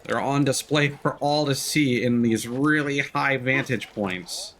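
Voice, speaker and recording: A man's voice: mid-range voice, measured pace, fairly bright tone, slight background noise. A man hosting a livestream, chatting casually and commentating on his game with rambling, wry asides. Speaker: livestream gamer and host. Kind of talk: casual gameplay commentary and chat.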